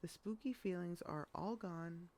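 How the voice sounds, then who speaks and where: A woman reading a rhyming children's picture book aloud in an expressive storytelling style. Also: fairly low pitch, a little slow.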